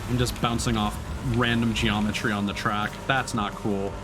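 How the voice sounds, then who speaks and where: A man's voice: on the low side, little pitch movement, moderate pace. A man giving live video game commentary as a streamer, sweary and grumbling as he talks. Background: racing game music and engine sounds.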